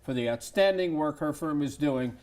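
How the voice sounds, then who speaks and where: A male television host with a lively, animated voice that rises and falls a lot. He asks his guest conversational questions and offers praise between them. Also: on the high side, moderate pace. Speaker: TV show host. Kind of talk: television interview.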